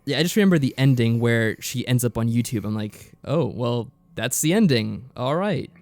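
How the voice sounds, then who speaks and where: Male co-host, medium pitch, casual low-key chat, agreeable, no room sound. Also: lively intonation, normal speed, darkish tone, dry recording. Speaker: podcast co-host.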